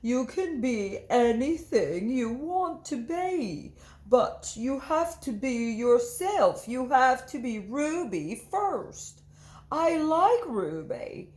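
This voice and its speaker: A woman voicing a kind schoolteacher in a storybook, gentle, measured and encouraging. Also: sits high, big pitch swings, voice pushed up, heavily acted. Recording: roomy echo.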